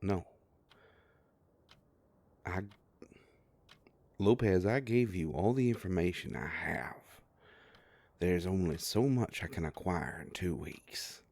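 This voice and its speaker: A man playing a sardonic, grumbling werewolf private investigator with a soft heart, in an audio roleplay drama. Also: animated, slow, pauses often, dry recording, heavily acted. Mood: exasperated, defensive. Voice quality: low gravelly voice.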